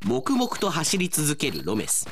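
Guy narrating a radio drama like an announcer, soft music under it. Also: a little high, huge pitch swings, a little slow, creaky.

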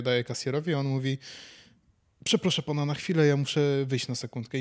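A young man talking casually as a podcast co-host, in a blunt, colloquial way. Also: mid-range voice. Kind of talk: casual chat, anecdote telling.

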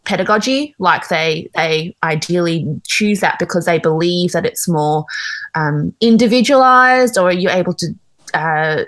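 A woman's voice: fairly low pitch, fairly bright tone. A woman from Australia who teaches in a government school, talking chattily with many fillers in a casual discussion. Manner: chatty, quick, with fillers and laughs.

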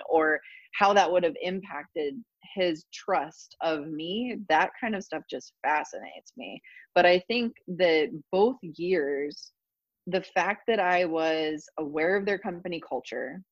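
A woman telling stories in a casual interview, animated, laughing often and filling with "like". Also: fairly low pitch, no echo, muffled recording. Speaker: guest.

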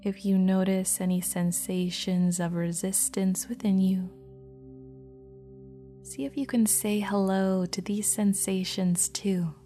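A woman guiding a meditation in a soft, slow, half-whispered voice. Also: medium pitch, flat delivery, soft background music. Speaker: meditation guide. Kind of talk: guided meditation.

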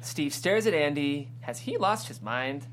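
Female stage-direction narrator, very low voice, lively intonation, table-read recording with background hum. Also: a little slow, low-quality recording.

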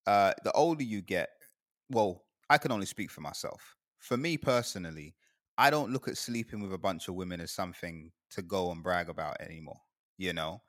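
A man's voice: low voice, animated, normal speed, fairly bright tone, no room sound, clean studio sound. A young man from Guyana in casual chat, rambling and laughing often as he talks.

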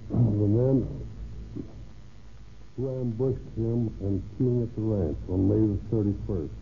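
A man reading scripted case narration formally, as a police chief on an old radio program. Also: on the low side. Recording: tinny, noisy 1930s radio recording.

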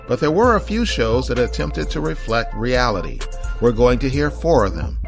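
An American man hosting a programme, reading scripted narration and announcements in a presenter's style. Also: medium pitch. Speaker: podcast host. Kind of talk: scripted narration and sponsor read.

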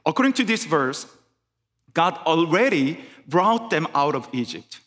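Korean-accented man preaching slowly in church. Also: a little high, big pitch swings, fairly bright tone, in a large hall. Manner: careful, halting English with restarts. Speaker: guest preacher. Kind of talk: sermon. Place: church sanctuary.

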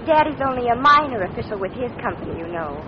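Woman acting a lively, high-voiced teasing young sweetheart. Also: quick pace, close to an everyday voice. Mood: surprised, wry. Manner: coy, sweetly mocking. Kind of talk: 1940s radio comedy acting. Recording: noisy, muffled 1940s radio recording with audience.

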